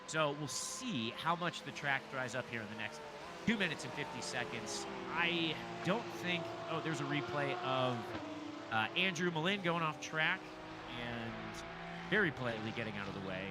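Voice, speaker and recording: Chatty man commentating over loud engines. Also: on the high side, lively intonation, normal speed. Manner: casual, chatty, thinks aloud with restarts. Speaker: sim racing commentator. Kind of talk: solo race commentary. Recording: loud race car noise behind. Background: sim race car engines.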